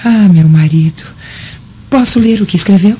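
An actress playing an inquisitive Roman governor's wife with sweetly probing radio drama delivery. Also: female voice, medium pitch, moderate pace, lightly acted. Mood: curious, probing. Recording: muffled radio drama with music.

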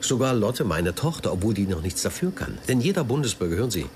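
A man's voice: fairly low pitch, big pitch swings, fast talker, darkish tone, slight background noise. An actor playing a slick, evasive and defiant corporate spokesman in a radio crime drama, talking in rhetorical officialese. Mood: theatrical, provocative. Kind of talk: naturalistic German radio drama acting.

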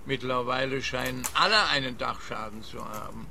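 Smug dim cop in a radio play, officious, crackly forceful voice, bit of noise behind. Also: male voice, medium pitch, moderate pace, vocal fry. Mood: opinionated. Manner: pompous, officious. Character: smug, obtuse, opinionated male police officer.

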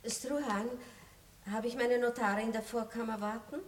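Eine Schauspielerin spielt in einem Hörspiel eine gelassene, ironische Adelsdame, die gnädig und bestimmt spricht. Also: female voice, on the high side, fairly even intonation, normal speed, slight background noise, close to an everyday voice. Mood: ironic. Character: poised, ironic aristocratic princess. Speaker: radio play actress. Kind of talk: 1960s radio drama acting. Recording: roomy echo.

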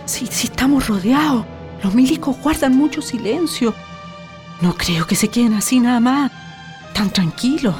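Woman acting an anxious, high-voiced Chilean wife. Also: animated, normal speed, lightly acted. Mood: uneasy, fearful. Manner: agitated, urgent, pleading. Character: anxious, defiant shantytown wife and mother. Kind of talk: radio drama acting. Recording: radio drama with music behind.

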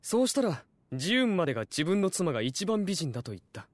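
A man's voice: high-pitched, big pitch swings, fast, dry recording. An actor playing a self-assured, doting nobleman with a formal, matter-of-fact manner in an audio drama. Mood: proudly doting. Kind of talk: Japanese audio drama acting.

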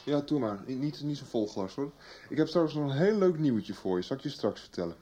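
A man's voice: medium pitch, expressive, a little fast, mellow, lightly acted. An actor playing a young policeman son, talking colloquially in a Dutch radio soap. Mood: eager storytelling. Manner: colloquial, chatty. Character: young policeman son recounting his case to his mother.

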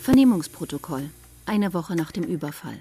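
An actress playing an impersonal announcer who reads case headings in a clipped, matter-of-fact way in a radio drama. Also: female voice, fairly low pitch, very animated, moderate pace, warm tone, a little hiss or hum. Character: impersonal female announcer reading out case records.

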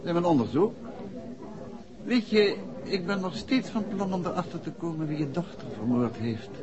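An actor playing a composed, courteous and determined detective in a 1960s radio play. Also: male voice, on the high side, lively intonation, moderate pace, crowd noise, lightly acted. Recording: dull, noisy old radio recording.

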